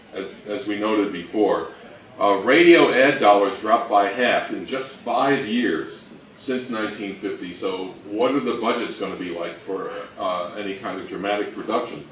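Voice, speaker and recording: Guy giving informal history lecture, lowish voice, bit halting, echoey and off mic. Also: fairly bright tone, distant mic. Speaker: presenter. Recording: roomy, off-mic, faint noise.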